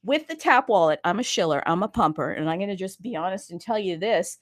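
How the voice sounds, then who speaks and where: A woman hosting a casual chat about crypto. She is bubbly and excitable and talks fast in bursts. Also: mid-range voice, dry recording. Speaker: host.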